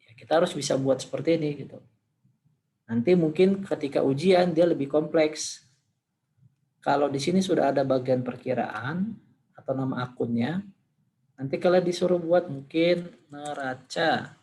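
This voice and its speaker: A man teaching a class, hoarse today, talking informally and thinking aloud as he demonstrates on screen. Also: fairly high pitch, moderate pace, pauses often, warm tone. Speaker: lecturer.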